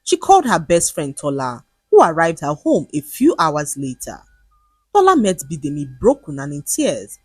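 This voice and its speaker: A woman narrating a scripted folktale in an expressive, dramatic storytelling manner. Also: sits low, moderate pace, breathy edge, no echo, soft background music.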